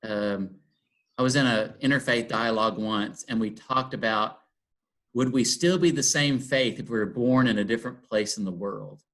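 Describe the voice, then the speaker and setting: A man's voice: mid-range voice, normal speed, a bit bright, poor audio. Thoughtful man from Texas, reflective talk. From Texas, USA. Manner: thoughtful, many ums and restarts. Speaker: interfaith dialogue guest. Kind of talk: reflective conversation.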